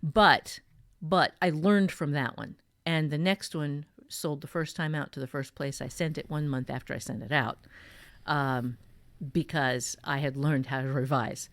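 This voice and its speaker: Casting: woman novelist as podcast mentor, slightly low voice, emphatic and unfiltered, informal talk in a dry recording. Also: fairly even intonation, measured pace, no echo. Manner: blunt, emphatic, sweary. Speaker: novelist teaching fiction writing. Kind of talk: casual podcast conversation giving writing advice.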